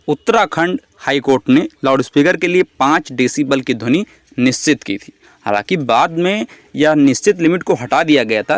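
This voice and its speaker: A man with a slightly high voice delivers an explanatory lecture. He is didactic, defining terms and restating them in simpler words. The sound is dry, with very little room in it. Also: dry recording. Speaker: educational video presenter. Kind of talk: explanatory lecture on current affairs.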